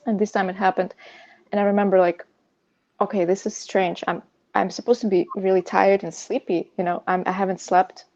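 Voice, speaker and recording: A woman from Russia, a native Russian speaker, telling a personal story as an interview guest in a halting, searching way. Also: mid-range voice, level tone, darkish tone, muffled recording. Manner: hesitant, halting, frequent "you know". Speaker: podcast guest sharing personal insomnia story; pianist.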